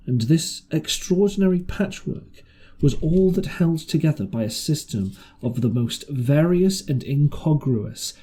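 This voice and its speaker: Male narrator, soft dark tone, slightly rough and husky, medium pitch, storybook reading. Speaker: audiobook reader. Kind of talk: scripted narration of an adventure novel.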